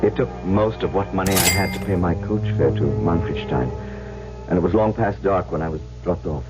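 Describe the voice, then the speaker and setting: Casting: determined, troubled young lover male actor, low voice, old-time radio drama, music underneath. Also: lightly acted. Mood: grim narration. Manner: earnest, measured, dramatic. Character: earnest, determined young suitor, brooding and troubled. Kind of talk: old-time radio drama acting and first-person narration. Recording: muffled vintage radio recording with music.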